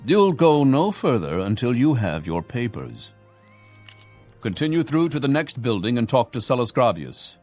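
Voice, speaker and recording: An actor playing a stern, curt imperial guard in a fantasy game, commanding and clipped. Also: male voice, medium pitch, huge pitch swings, moderate pace, darkish tone, faint music behind. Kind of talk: scripted fantasy video game dialogue.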